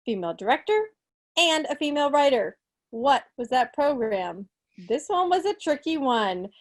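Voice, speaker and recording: A woman in her thirties chatting casually on a home video call, with a playful, self-deprecating manner. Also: high voice. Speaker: club quiz presenter. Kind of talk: casual, chatty club meeting talk. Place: small living room at home, video call. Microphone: video call from a seat in an armchair.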